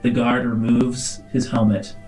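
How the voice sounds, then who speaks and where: A man's voice: medium pitch, level tone, a little slow, darkish tone, low-quality recording. A man runs a tabletop role-playing game, improvising descriptions as game master. His voice sits in a middle range, with music playing faintly behind it.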